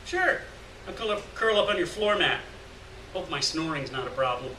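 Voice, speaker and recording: A man's voice: a little high, lively intonation, normal speed, sharp tone. A man voicing a rowdy, crude, hard-drinking beatnik drifter in a live story reading, boisterous and slangy. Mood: tipsy, sentimental. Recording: roomy, distant mic, faint noise.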